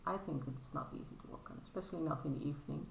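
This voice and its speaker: A Dutch woman in her thirties chats in English with a low, gravelly voice that still sounds bright and a little tense. She hesitates a lot, filling the pauses with filler sounds and restarting her sentences. The room is echoey, with a faint hum of ambience behind her. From Netherlands. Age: 30s. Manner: modest and apologetic. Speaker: crafting vlogger. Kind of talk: casual show-and-tell vlog.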